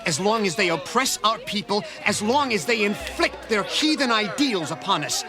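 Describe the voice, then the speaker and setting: An actor playing a fiery young revolutionary in a radio drama, impassioned and declamatory. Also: male voice, sits high, expressive, normal speed, music in the background. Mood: defiant.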